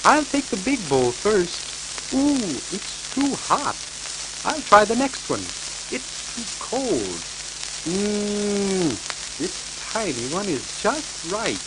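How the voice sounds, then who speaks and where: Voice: medium pitch, sing-song, slow pace, pitched above the performer's own voice. A storyteller voicing a curious, fussy little girl on a crackly 1940s children's record.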